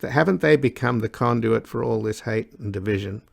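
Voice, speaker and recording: A man hosting a political interview in a gravelly, creaky voice, putting long, halting, opinionated questions. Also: mid-range voice, darkish tone, dry recording, clean studio sound. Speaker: interview host.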